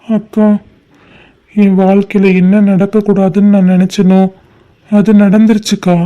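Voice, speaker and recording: A man's voice: sits high, little pitch movement, a little slow, highs cut off. A young man playing a heartbroken lover, speaking with a Tamil accent, pleading and crying. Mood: tearful. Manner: pleading, tearful and despairing. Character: lovesick young man, grieving and desperate. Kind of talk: short-film drama acting.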